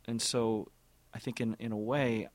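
A man in his forties, an orchestra music director, answering interview questions in a thoughtful, halting way with many repeated words and fillers. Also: fairly low pitch, little pitch movement, dry recording.

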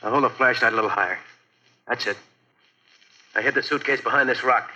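An actor playing a bitter, vengeful ex-convict with a taunting, deliberate manner in a 1940s radio thriller. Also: male voice, medium pitch, sharp tone, low-quality recording. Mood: wary, matter-of-fact. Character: bitter, calmly vengeful dying male ex-convict. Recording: vintage 1940s radio recording.

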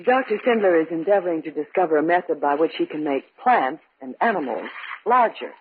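A woman's voice: deep voice, animated, a little fast, a little hiss or hum. A woman playing a composed, cautious research scientist, precise and serious, in old-time radio drama style. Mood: explaining. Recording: husky, narrow vintage radio recording.

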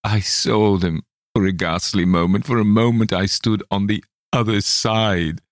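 Guy doing a frantic, shaking, panicked man in a horror audiobook, voice pushed up high, dry close sound. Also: fairly even intonation, a little slow, no echo, close to an everyday voice. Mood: hysterical. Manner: frantic, trembling, shrieking. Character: terrified hysterical man haunted by visions. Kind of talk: audiobook character voice.

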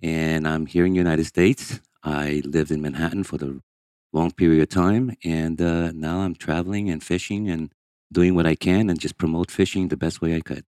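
A middle-aged man, born in Hong Kong and raised in Brazil, speaking earnestly as a guest in an interview, with emphatic, halting restarts. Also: low-pitched, fairly even intonation, a little mellow, clean tone, no echo. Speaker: guest angler. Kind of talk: candid interview storytelling.

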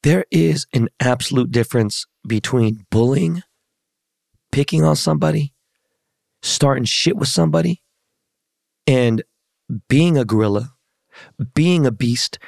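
Man with a hoarse voice, podcast host, gravelly, dry close sound, slangy and profane, hype casual chat. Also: mid-range voice, measured pace, long pauses, darkish tone, dry recording.

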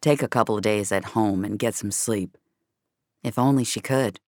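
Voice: mid-range voice, moderate pace, dry recording, clean studio sound. A narrator reading scripted audio fiction in a wry, hard-boiled storytelling manner.

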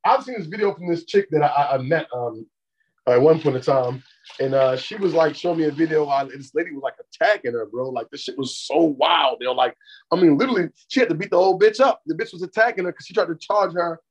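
A man co-hosting a podcast, chatting casually and profanely and telling animated stories. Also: on the high side, fast, muffled recording. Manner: animated, swears freely, storytelling. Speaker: podcast co-host.

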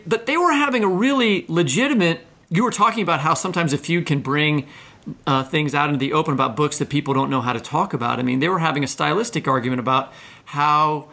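A man co-hosting a conversational literary interview, discursive and halting, often checking in with the listener. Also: on the high side, vocal fry, bright, forceful tone. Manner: discursive, halting phrasing, checks in with "right?" and "you know". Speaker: podcast co-host.

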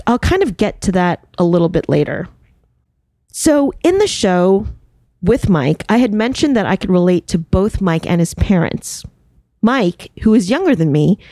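A woman's voice: medium pitch, warm tone, dry recording. A woman from the New York suburbs, a television producer, hosting her show and telling her own story in a candid, wry way. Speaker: podcast host. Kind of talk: reflective personal commentary.

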